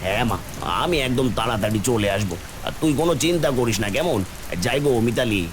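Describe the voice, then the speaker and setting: A man playing a poor, gentle village father in a cartoon, soothing and resigned. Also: mid-range voice, lively intonation, quick pace, noisy background, natural voice. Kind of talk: Bengali cartoon voice acting.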